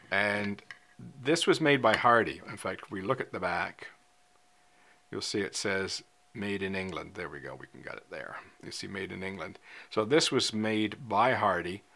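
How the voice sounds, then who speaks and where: A chatty man in his sixties with a lowish voice talks easily to the camera, trailing off into ums and uhs as he goes. He sits in a studio and speaks into a lapel mic. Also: gravelly, fairly bright tone. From Canada. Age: 60s. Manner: chatty, frequent ums and uhs, gestures with hands. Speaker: fly fishing vlogger. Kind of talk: casual talk to camera. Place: indoor studio, seated in front of a screen.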